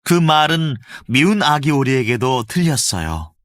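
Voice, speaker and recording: A man narrating a children's storybook with an expressive storytelling lilt. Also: mid-range voice, measured pace, dry recording, clean studio sound.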